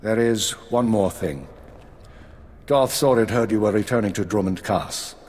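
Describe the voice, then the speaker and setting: A man's voice: lowish voice, a little slow, a little mellow, slight background noise, natural voice. An actor voicing a stern middle-aged male spymaster who briefs an agent in a clipped, commanding tone, in a video game cutscene. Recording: long hall reverb.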